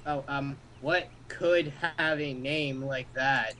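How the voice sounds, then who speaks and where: A man of medium pitch reads visual novel lines aloud as an amateur, then wanders into irreverent asides. His delivery is casual, and the homemade recording has noticeable background noise. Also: fairly even intonation, slow pace, sharp tone. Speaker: amateur voice-over player in a group. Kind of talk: reading game dialogue with joking asides. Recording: noisy amateur home recording.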